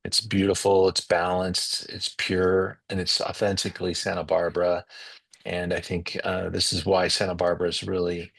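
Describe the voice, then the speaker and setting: Gravelly deep-voiced man hosting. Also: fairly bright tone, no room sound. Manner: enthusiastic, hesitant with fillers. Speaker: wine show host. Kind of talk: video call interview.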